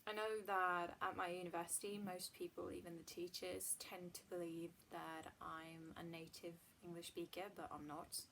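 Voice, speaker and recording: A young Swedish woman, a native Swedish speaker, talks casually to camera from a hallway at home. Her voice sits a little low, at times slipping into a gravelly fry and dropping to near-whispers. A faint hiss sits under the recording. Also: flat delivery, normal speed, hardly any pauses, poor audio. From Sweden. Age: 20s. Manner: chatty and soft, trailing into quiet, half-whispered asides. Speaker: vlogger. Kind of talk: casual vlog talk to camera.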